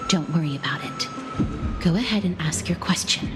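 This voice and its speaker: An actress playing a polite, guarded young woman in a video game, speaking in a measured, formal and firm way, with music behind her. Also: on the low side, natural voice. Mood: calm, guarded. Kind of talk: scripted video game acting.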